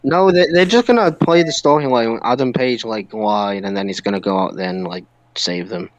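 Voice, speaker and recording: A man's voice: on the low side, a little fast, no echo, dull audio. A man co-hosting a wrestling podcast, talking in an emphatic, opinionated, ranting way. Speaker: podcast co-host.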